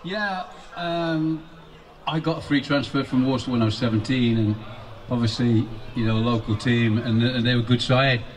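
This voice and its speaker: A former footballer talks in a loose, reminiscing way at a football ground. His voice sits at a middling pitch and sounds slightly distant from the handheld mic. Faint outdoor noise runs behind him. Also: normal speed. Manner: rambling, reminiscing. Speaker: former player. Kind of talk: casual interview. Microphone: handheld mic held by interviewer.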